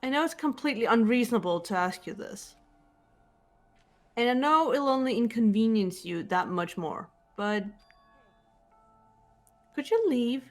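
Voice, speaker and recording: A woman's voice: fairly high pitch, lively intonation, faint music behind. A woman voicing a composed, guarded, analytical teenage girl detective in a fan-dubbed game. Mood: guarded, strained. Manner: measured, reserved, matter-of-fact delivery. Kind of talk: fan voice acting of a visual novel game.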